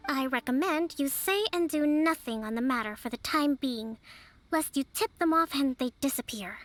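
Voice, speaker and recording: Calm, high-voiced young strategist. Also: female voice, dry recording, faint music behind. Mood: composed. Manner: polite, measured, diplomatic. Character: young woman strategist, calm and composed leader. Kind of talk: game voice acting.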